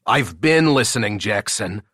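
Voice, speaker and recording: A man's voice: on the low side, expressive, a little slow, bright, forceful tone, dry recording, studio quality, heavily acted. A man voicing an irritable, commanding billionaire boss with a terse, blunt, exasperated manner in an audiobook. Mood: fed up. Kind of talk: audiobook character dialogue.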